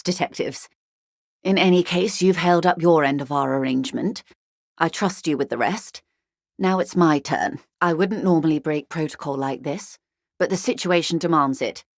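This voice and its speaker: Wealthy female company negotiator, lowish voice, dry close recording, courteous, evasive, measured, video game dialogue. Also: no echo. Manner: formal, measured, politely guarded.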